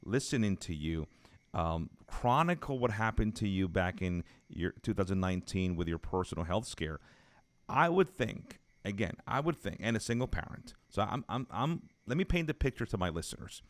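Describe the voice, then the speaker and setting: A man's voice: on the low side, very animated, normal speed, no echo. A man hosting a conversational interview, emphatic and repeating phrases as he builds a point. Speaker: podcast host.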